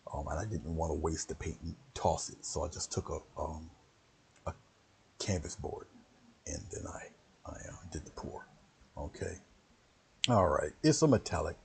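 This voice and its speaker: Low gravelly man chatting on a stream. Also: a little mellow, slight background noise. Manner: rambling, chatty, greets viewers by name. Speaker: painting instructor. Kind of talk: casual livestream painting lesson.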